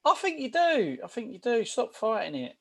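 A man's voice: very high voice, big pitch swings, moderate pace, creaky, fairly bright tone. A British man co-hosting a podcast, joking and ribbing his friend in casual banter. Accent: British English. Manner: joking, mocking. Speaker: podcast co-host.

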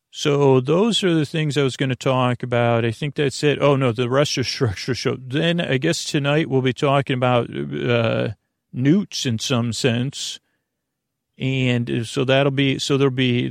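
A man hosting a bedtime podcast in a rambling, halting monologue, trailing off, digressing and circling back to his point. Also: medium pitch, gravelly, dry recording, studio quality. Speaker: podcast host.

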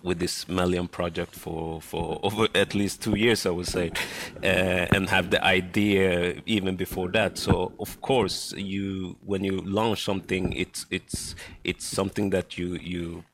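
A man raised in Sweden, a model and beauty brand founder, speaking earnestly on a panel, often halting to find his words. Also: sits low, little pitch movement, a bit bright, a little hiss or hum. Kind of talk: panel discussion.

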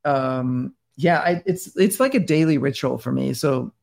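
A man's voice: medium pitch, measured pace, gravelly, darkish tone. A middle-aged man from Denmark, a podcast guest talking casually and intensely, restarting his sentences often. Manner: intense, rambling, many restarts and "like". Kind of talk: casual interview talk.

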